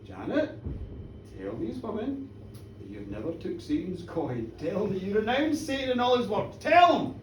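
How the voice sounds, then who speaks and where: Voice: mid-range voice, very animated, moderate pace, voice pushed down, close to an everyday voice. A storyteller voicing an indignant minister who scolds his villagers in commanding, repeated exclamations. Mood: urgent, commanding. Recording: roomy echo, distant mic, faint noise.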